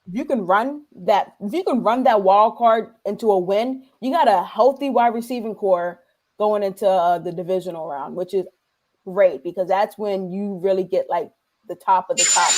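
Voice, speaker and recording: A chatty woman co-host walks through football strategy in a relaxed, conversational way, at a middle pitch. She often restarts and trails off mid-sentence. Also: moderate pace, poor audio. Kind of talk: casual sports talk.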